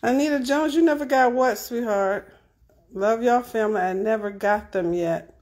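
A woman co-hosting a casual live cooking stream, reading viewers' names and thanking them in a steady run. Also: fairly high pitch, normal speed. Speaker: co-host reading viewer comments.

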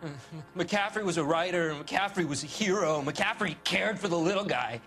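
Game role: young American male suspect, blue-collar, pleading and anxious, slightly high pitch. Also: normal speed, a bit bright, soft background music. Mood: rueful, hesitant. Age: young adult. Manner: hesitant, pleading, defensive. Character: anxious, defensive young working-class male murder suspect. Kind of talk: dramatic video game acting.

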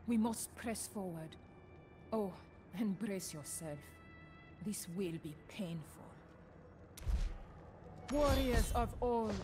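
An actress playing a solemn, steady mystic guide in a fantasy game, measured and ceremonial in delivery. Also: female voice, mid-range voice, lightly acted. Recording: clear background ambience noise.

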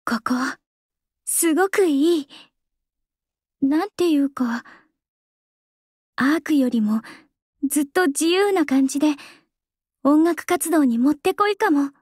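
A cool young idol singer. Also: female voice, sits high, takes it slowly, long pauses, no room sound, studio quality. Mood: calm. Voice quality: calm, composed and soft-spoken. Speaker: game character voice. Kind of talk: game voice lines. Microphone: close studio mic, dry.

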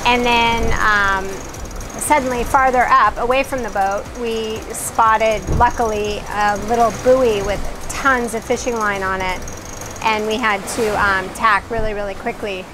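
A woman's voice: a little high, fairly even intonation, unhurried, background noise. A woman sailing vlogger talking casually and informally to the camera aboard a boat, in a conversational way. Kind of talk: casual vlog chat. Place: aboard a sailing catamaran at sea.

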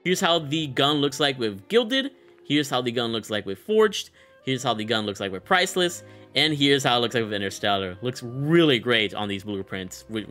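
A young man presents a video game showcase with upbeat, slangy commentary. His voice is bright and punchy at a middling pitch. He sits close to the mic, with faint music behind him. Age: young adult. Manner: slangy, enthusiastic. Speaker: gaming content creator and host. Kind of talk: casual excited game bundle showcase commentary.

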